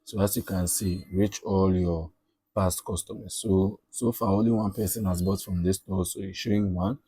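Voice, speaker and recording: A man giving a casual step-by-step software tutorial, often repeating himself and checking in with okay and all right. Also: low-pitched, fairly even intonation, moderate pace, husky, dry recording. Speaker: tutorial presenter.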